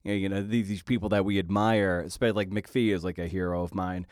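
A man hosting an interview podcast, talking conversationally in a halting way and often repeating his words. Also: low-pitched, steady tone, darkish tone, no echo, clean studio sound.

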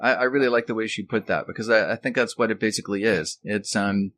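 A middle-aged man with a fairly low voice co-hosts an informal conversation. He speaks a little hesitantly, with dry, self-deprecating humour. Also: fast talker, fairly bright tone. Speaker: co-host, author. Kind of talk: casual chat.

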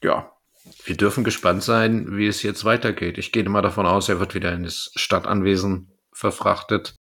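A man's voice: low voice, flat delivery, normal speed, vocal fry, fairly bright tone. A man co-hosting a podcast, casually recapping and reviewing in a loose, conversational way. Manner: conversational, loosely retelling from notes. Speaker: podcast co-host.

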